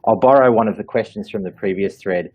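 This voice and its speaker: A man with a fairly low voice talks quickly as he hosts a session from his living room. His questions come out friendly and brisk, with fillers. The sound is dull, lo-fi video call audio. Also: slightly rough. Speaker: session host and interviewer.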